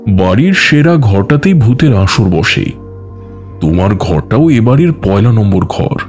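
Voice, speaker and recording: A man playing a teasing older uncle in an audio story, speaking in a deliberate, mock-serious way. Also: lowish voice, very animated, darkish tone. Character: teasing older uncle hosting guests in ancestral mansion. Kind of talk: dramatised audio story dialogue. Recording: music behind the voice. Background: background music.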